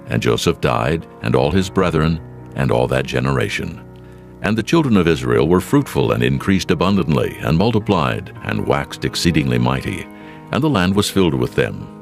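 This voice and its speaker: A man narrating dramatized scripture in a solemn, deliberate manner. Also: very deep voice, creaky.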